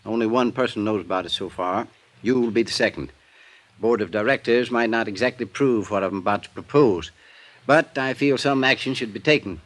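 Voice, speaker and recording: An actor playing a meticulous American insurance executive, polite and measured, in vintage radio drama style. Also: male voice, on the low side, a bit bright, close to an everyday voice. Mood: guarded, confiding. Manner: measured, polite, hesitant when confiding. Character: courteous, meticulous, guarded male insurance company executive. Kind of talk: 1940s-50s radio acting.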